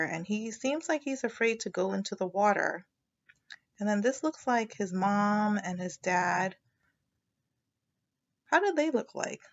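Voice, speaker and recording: Slow, animated woman teaching a read-aloud. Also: mid-range voice, highs cut off. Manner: explanatory, thinking aloud, prompting listeners. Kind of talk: children's read-aloud lesson with questions.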